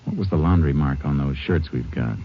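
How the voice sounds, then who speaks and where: An actor playing a steady, decisive Texas Ranger in a 1950s radio drama, speaking in a terse, businesslike way. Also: male voice, extremely low pitch, fairly quick. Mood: decisive, alert. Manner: terse, businesslike, matter-of-fact. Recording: muffled old 1950s radio broadcast, music.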